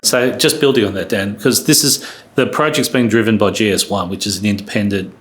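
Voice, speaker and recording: A man's voice: fairly low pitch, brisk, a bit bright. A man talking as a podcast guest, explaining things earnestly and with emphasis in a conversational way. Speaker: podcast guest, company employee.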